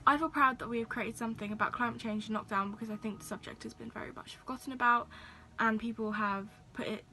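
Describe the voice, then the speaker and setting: A woman's voice: a little high, fairly even intonation, quick pace, bright, forceful tone, soft background music. A young woman, reflecting on her youth theatre work in an interview style. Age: teens. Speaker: youth theatre company member.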